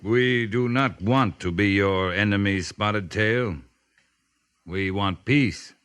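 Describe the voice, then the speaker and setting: A man's voice: fairly low pitch, takes it slowly, fairly bright tone, smooth. A man playing a firm, diplomatic cavalry captain, authoritative and formal, in 1950s radio western style. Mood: measured, diplomatic.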